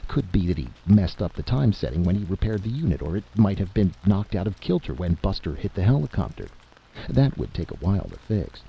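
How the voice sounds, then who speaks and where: A man voicing a gruff, slangy and stubbornly confident adventurer in a story reading. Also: low-pitched, big pitch swings, brisk, lo-fi sound, voice pushed up, exaggerated voice. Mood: confident, reassuring.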